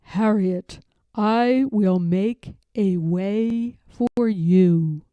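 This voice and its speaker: Woman voicing slow, solemn, fatherly God. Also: medium pitch, dark tone, smooth, no echo, muffled recording, voice pushed down. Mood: solemn, commanding. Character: God, a calm, tender, commanding fatherly divine voice. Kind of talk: storybook read-aloud.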